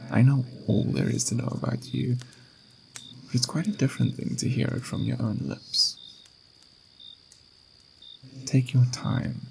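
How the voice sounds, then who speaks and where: Man playing a creaky-voiced, mysterious ageless watcher. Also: mid-range voice, fairly even intonation, noisy background. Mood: soothing, inviting. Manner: unhurried, philosophical, softly reassuring. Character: mysterious ageless lingerer at time's end, patient and gentle. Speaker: ASMR roleplay performer. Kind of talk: ASMR roleplay, slow intimate monologue.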